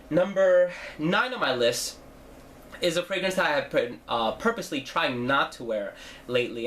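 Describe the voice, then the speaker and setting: A man's voice: mid-range voice, fairly bright tone, a little hiss or hum. A young man with a stuffy nose from a cold, hosting a casual fragrance review channel and talking in a chatty way with many fillers. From New Jersey. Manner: chatty, with frequent fillers and self-corrections.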